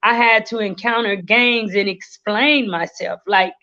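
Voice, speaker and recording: Haitian-born woman in her 30s, slow earnest talk, lots of ums, kinda dull lo-fi stream audio. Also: mid-range voice, pushed and bright. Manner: slow, earnest, with many fillers. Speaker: guest. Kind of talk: livestream interview, life story. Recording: lo-fi livestream audio.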